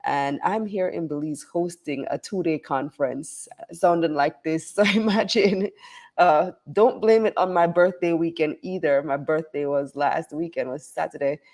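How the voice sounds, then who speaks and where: Hoarse, stuffy woman hosting a live show. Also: deep voice, normal speed, darkish tone. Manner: chatty, upbeat, reads viewer comments aloud. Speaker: show host. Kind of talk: live show chat with viewers. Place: hotel or conference venue, traveling.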